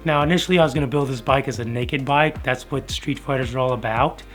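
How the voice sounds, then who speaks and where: This is a middle-aged man with an American accent and a slightly hoarse voice. He talks viewers through a garage build in a laid-back, colloquial style, at an ordinary mid pitch. Music plays faintly behind him. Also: quick pace, fairly bright tone. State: slightly hoarse from being sick. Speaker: host of a motorcycle build series. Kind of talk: casual how-to narration to viewers. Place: home garage workshop.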